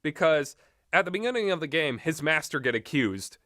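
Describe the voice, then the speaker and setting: Animated, rambling man with a bright voice. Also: on the high side, no room sound, clean studio sound. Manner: hesitant, halting, many filler words and trailing thoughts. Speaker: host of a video game talk. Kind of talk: casual unscripted rambling commentary.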